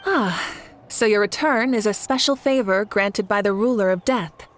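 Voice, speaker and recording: A woman playing a courteous, composed young leader in a video game, speaking politely in a measured, formal way. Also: mid-range voice, slight background noise, natural voice. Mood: composed, inquiring.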